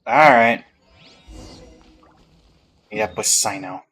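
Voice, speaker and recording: A man's voice: fairly low pitch, very slow, a bit bright, soft background music. A man giving casual gameplay commentary, reading dialogue aloud and adding offhand remarks. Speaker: game streamer.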